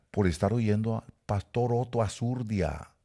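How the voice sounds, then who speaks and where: A man's voice: slow, gravelly. A man from Costa Rica with a Guatemalan Spanish accent, preaching a sermon and telling personal stories. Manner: animated storytelling with sudden rises in pitch and volume.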